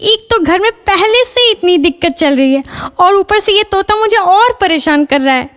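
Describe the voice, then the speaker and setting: A woman playing a fretful village wife and mother in a cartoon story, with an expressive, dramatic manner. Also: very high-pitched, moderate pace. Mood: irritated. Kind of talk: Hindi cartoon story dialogue.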